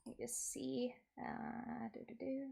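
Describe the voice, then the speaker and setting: A woman with a fairly high voice hosts a livestream in a casual, chatty style. She talks at an unhurried pace, with an animated, giggly and expressive manner. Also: vocal fry, breathy edge. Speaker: streamer, VTuber host.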